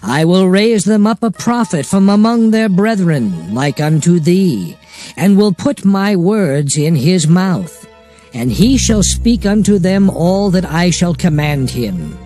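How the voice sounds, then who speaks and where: A man playing a solemn prophet proclaiming divine law in a dramatized King James scripture reading, measured and oratorical. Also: high-pitched, big pitch swings, mellow, soft background music, heavily acted.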